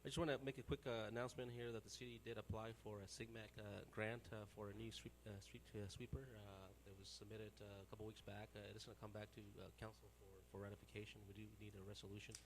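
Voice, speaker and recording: A city manager with a cold, giving a quick announcement to the council with many fillers. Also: male voice, lowish voice, flat delivery, a little hiss or hum, low-quality recording. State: sick, voice not clear.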